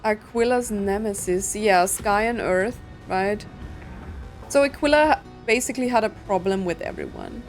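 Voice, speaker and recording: A woman's voice: mid-range voice, huge pitch swings, normal speed. A woman giving casual game commentary, thinking aloud in a musing, hesitant way. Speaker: gaming streamer. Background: game music.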